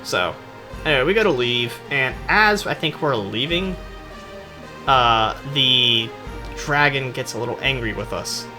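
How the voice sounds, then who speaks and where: Animated joking guy over game music. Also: medium pitch, a little slow. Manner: irreverent, slangy, sarcastic. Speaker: video host commentator. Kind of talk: rambling joking commentary over game cutscenes, acting out dialogue in skit voices.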